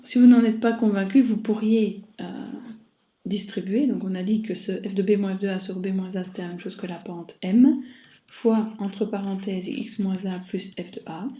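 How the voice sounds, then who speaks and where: A woman's voice: mid-range voice, fairly even intonation, moderate pace, warm tone. A woman giving a recorded math lesson as a teacher, explaining step by step and addressing her students directly. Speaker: mathematics teacher. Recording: dull muffled sound, roomy echo.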